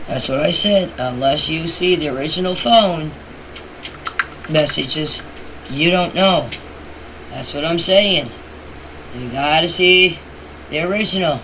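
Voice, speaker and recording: A plain-spoken woman recounting events in a casual voice message, in halting and repetitive phrasing. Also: low-pitched. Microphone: phone voice message. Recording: noisy voice clip, steady background hiss.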